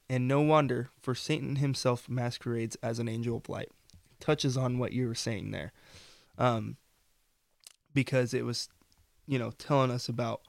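Hoarse young-sounding man, dry close sound. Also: mid-range voice, dry recording. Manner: earnest, rambling with fillers. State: losing his voice, coughing. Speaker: podcast co-host. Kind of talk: casual faith discussion.

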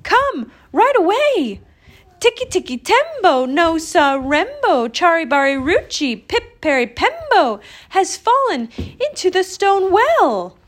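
Little boy for a storybook, played by a woman: very high, urgent, breathless, loud pleading. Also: animated, unhurried. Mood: desperate shouting. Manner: shouting, gasping, pleading. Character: small breathless frantic young boy.

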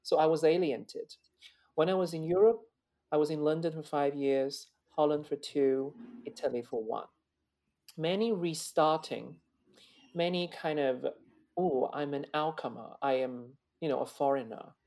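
A man's voice: unhurried, warm tone. A man, a guest opera singer talking earnestly and reflectively in an interview, often restarting and repeating words. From China. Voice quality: high male voice. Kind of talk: interview conversation.

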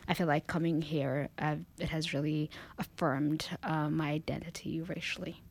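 Woman from Ethiopia, low and gravelly, thoughtful podcast guest. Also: little pitch movement, normal speed. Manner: thoughtful, hedging with "I feel like". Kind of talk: casual panel discussion.

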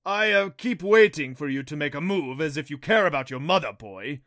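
A man voicing a cruel fanged beast in a fantasy audiobook, sneering and taunting with theatrical, drawn-out mock sorrow. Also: on the high side, animated, fairly bright tone, no echo, clean studio sound, voice pushed up. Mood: mocking, menacing. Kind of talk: audiobook character voice for a fantasy story.

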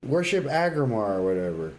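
A man's voice: mid-range voice, big pitch swings, fairly quick, darkish tone. A man in casual podcast banter, blunt and slangy, swearing freely. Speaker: podcast co-host. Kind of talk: casual banter about game sounds.

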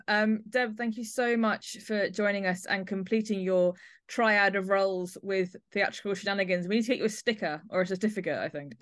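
Female podcast host, mid-pitched, musing conversational delivery, very dry sound. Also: dry recording. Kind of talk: interview chat about an audio play.

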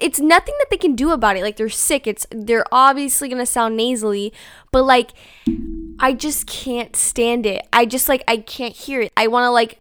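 A young woman chats on her own podcast, animated and exasperated, full of likes and ums. Her voice sits a little high and sounds smooth and crisp. The close home mic gives a dry sound with no room echo. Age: young adult. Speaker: podcast host. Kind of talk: casual solo podcast chat.

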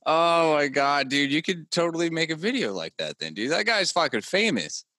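A male podcast host talks in a bright, forceful voice, excitable and quick to swear. His sound is close and dry, with no room around it. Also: on the high side, no room sound. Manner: excitable, swears a lot, says dude. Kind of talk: casual podcast chat.

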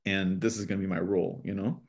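A young man talking in a relaxed, reflective way as a podcast guest, pausing to think as he explains his views on teaching. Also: low voice. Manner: reflective, thoughtful, speaks with pauses. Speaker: podcast guest, language educator. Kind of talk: casual conversation.

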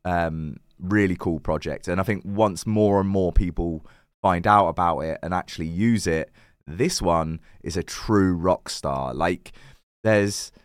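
Croaky low-voiced man talking crypto. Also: a little slow, dry recording, clean studio sound. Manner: chatty, loose, frequent fillers and self-corrections. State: croaky, recovering lost voice. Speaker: crypto channel host. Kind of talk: casual to-camera crypto commentary.

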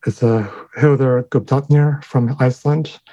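Man, first language Chinese, halting speech, lots of fillers, mellow tone, muffled lo-fi audio. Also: medium pitch, steady tone, dry recording. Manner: thoughtful, halting, many fillers and restarts. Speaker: guest sound designer. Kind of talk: interview conversation.

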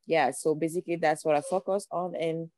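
A woman's voice: deep voice, fairly even intonation, fairly quick, a little mellow, no room sound. A young woman from Cameroon with a Cameroonian accent, speaking as a podcast guest, earnest and reflective, building her points through repeated phrases. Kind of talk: podcast interview.

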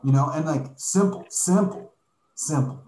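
A man in casual conversation, explaining things in a relaxed way, often adding "like" and "right?". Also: a little high, unhurried. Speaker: podcast guest living abroad. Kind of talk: casual chat. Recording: echoey room.

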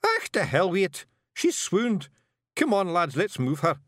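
A man voicing a tiny, brash fairy warrior leader with a Scottish accent, excitable, loud and blunt, in an audiobook. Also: sits high, a little slow, dry recording, studio quality, voice pushed up, exaggerated voice. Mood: urgent, desperate. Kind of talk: audiobook character voice.

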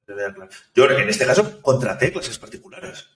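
A man, a software developer, talking casually in an interview, thoughtful and hesitant, with many restarts. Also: mid-range voice, sing-song, moderate pace. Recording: echoey room, distant from mic.

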